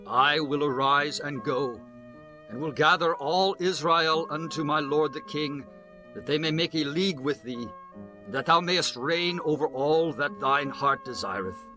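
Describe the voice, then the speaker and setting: A man's voice: fairly high pitch, measured pace, natural voice. A man playing a resolute, persuasive biblical army commander, speaking in formal, emphatic oratory for dramatized scripture. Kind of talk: dramatized scripture, declamatory radio-play acting. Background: instrumental music.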